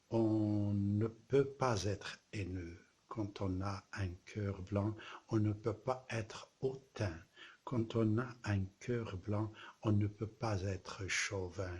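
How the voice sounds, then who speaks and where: A man from southern France, an artist presenting his exhibition in a slow, halting way, with pauses and repeated words. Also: on the low side, breathy edge. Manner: repeats poetic refrains. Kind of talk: casual spoken address to camera.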